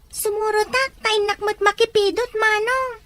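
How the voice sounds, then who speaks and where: A woman's voice: extremely high pitch, steady tone, normal speed, fairly bright tone. A woman voicing a caring, curious little girl who asks questions in a childlike way, in an audio drama. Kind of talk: amateur audio drama acting.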